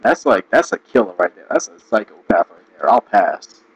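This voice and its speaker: A man giving casual, rambling commentary, restarting sentences and laughing often, his voice going hoarse. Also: lowish voice, big pitch swings, moderate pace, no room sound, rough recording. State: losing his voice. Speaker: video commentator.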